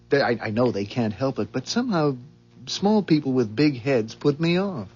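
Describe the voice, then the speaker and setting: An actor playing a wary but amiable suburban husband in a 1970s radio drama, hesitant and drily skeptical. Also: male voice, medium pitch, sing-song, moderate pace, natural voice. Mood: wary, bemused. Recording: dull vintage radio recording.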